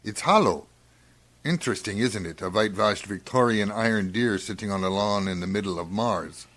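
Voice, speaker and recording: A man playing a wry, detached scientist in a science fiction radio drama, musing dryly and deliberately. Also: fairly low pitch, fairly bright tone, soft background music. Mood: dryly amused. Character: wry, detached, brooding male scientist doctor on a space crew.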